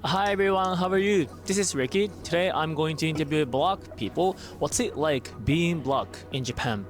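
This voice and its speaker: A man's voice: fairly high pitch, moderate pace, faint music behind. A man introducing his channel and politely interviewing a guest. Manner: polite, upbeat presenter.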